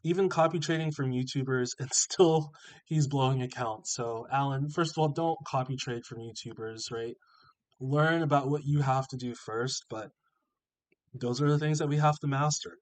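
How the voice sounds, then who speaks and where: A man's voice: medium pitch, moderate pace, rough recording. A man hosting a trading channel with a stuffy, sniffling nose, reading out viewer comments and reassuring them in a casual, encouraging way.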